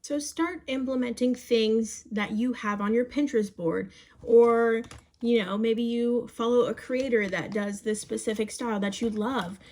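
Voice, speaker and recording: Young woman, about twenty, slightly high voice, casual vlog advice, encouraging, phone camera. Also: a little slow, a little mellow. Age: young adult. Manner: chatty and encouraging, says "babes" and "you guys". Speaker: vlogger giving advice.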